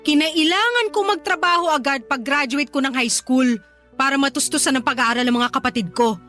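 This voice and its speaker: A Filipino actress playing a resentful, defiant young woman working abroad in a radio drama, with a sharp-tongued, argumentative manner. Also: sits high, brisk. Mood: indignant, defiant. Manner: argumentative, indignant, sarcastic. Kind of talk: Tagalog radio drama acting. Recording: music behind the voice.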